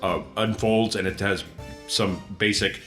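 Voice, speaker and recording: Low-voiced man in an echoey room. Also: steady tone, unhurried, bright, forceful tone, faint music behind. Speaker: player on tabletop podcast. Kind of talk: casual group chat.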